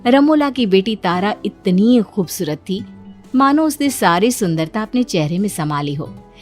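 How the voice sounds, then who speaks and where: A woman's voice: medium pitch, animated, measured pace, darkish tone, faint music behind. A woman reading scripted folk-tale narration as a storyteller.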